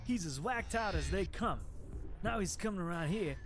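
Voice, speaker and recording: A man's voice: sits high, lively intonation, normal speed. An actor playing a streetwise, cynical male underworld dealer in a video game, talking in a casual, slangy, world-weary way. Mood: wry, cynical. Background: music.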